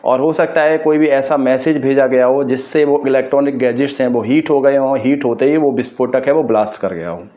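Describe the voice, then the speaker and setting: A man's voice: medium pitch, fairly quick, hardly any pauses, dark tone. A man from India gives explanatory news commentary to viewers, speaking emphatically and opinionatedly and repeating his points. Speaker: host of an explainer video channel. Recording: dull lo-fi microphone sound.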